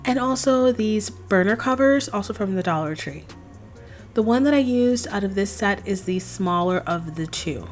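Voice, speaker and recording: Young female craft host, a bit hoarse from a cold, chatty tutorial voice-over, mid pitch, faint music under her. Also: moderate pace. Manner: chatty, relaxed, explains steps plainly. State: slightly hoarse, recovering from a cold. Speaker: DIY craft channel host. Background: faint background music.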